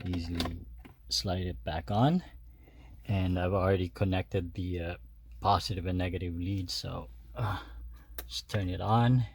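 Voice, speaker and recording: A man hosting a DIY video, talking viewers through steps casually with halting, hesitant phrasing. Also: fairly low pitch, a little mellow, breathy edge, no room sound. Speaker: DIY car modification video host. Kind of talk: casual step-by-step tutorial talk.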